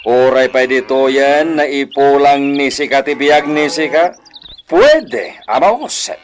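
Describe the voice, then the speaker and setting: An actor with an Ilocano accent playing an authoritative, measured hacienda master in a radio drama. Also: male voice, mid-range voice, expressive, a little hiss or hum. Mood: lordly, reassuring. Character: authoritative male hacienda master peppering speech with Spanish.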